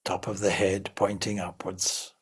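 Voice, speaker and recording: A man leading a guided meditation as a teacher, giving gentle, measured instructions with long pauses between phrases. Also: low voice, level tone, slightly rough.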